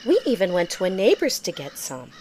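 A woman's voice: lowish voice, big pitch swings, a little fast, a little mellow, a little hiss or hum. A woman playing a dramatic, wide-eyed young stepsister in a fairy-tale audio drama. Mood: complaining.

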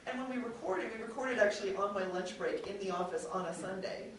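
A woman's voice: medium pitch, fast talker. Woman panelist, rambling and self-correcting, very echoey hall mic from afar. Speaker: podcast host and audio drama writer. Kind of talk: panel discussion. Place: convention panel room. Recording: very echoey room, distant mic, faint noise.

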